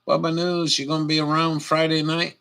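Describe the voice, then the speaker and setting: A man with a slightly high, gravelly voice hosts a stream. He chats casually and off the cuff, with a very bright edge. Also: completely flat delivery, brisk. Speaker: stream host.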